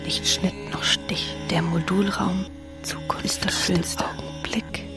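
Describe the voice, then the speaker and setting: An actress playing a dreamlike inner voice in a radio drama, reciting poetic fragments in a measured, incantatory manner. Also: female voice, on the low side, fairly even intonation, in a whisper, over music. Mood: hushed, incantatory.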